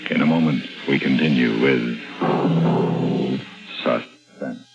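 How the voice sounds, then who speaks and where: Very deep, slow vintage radio announcer man. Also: vocal fry. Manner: ominous, deliberate. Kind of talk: 1950s radio announcing, dramatic scripted narration. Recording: muffled old radio, loud music.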